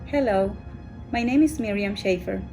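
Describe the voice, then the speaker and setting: Woman, mid-pitched voice. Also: normal speed, a little mellow, soft background music, rough recording. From Honduras. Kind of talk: prepared personal testimonial.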